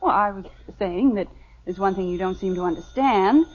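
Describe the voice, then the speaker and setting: An actress playing a calm middle-aged wife and mother with an American accent, speaking gently in an old radio sitcom. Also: medium pitch, moderate pace, natural voice. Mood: placating. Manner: gentle, placating. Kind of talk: 1950s radio sitcom acting. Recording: dull, slightly noisy old radio recording.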